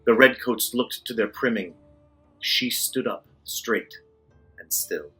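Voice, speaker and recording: A man with a raspy voice reading a poem dramatically. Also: on the low side, takes it slowly, pushed and bright, faint music behind, rough recording. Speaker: poem reader.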